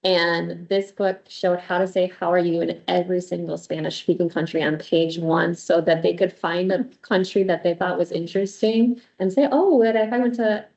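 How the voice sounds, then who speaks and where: A woman language teacher speaking at a school board workshop in an animated, chatty way. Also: lowish voice, normal speed, creaky, few pauses, dull audio. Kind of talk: sharing views at a board workshop.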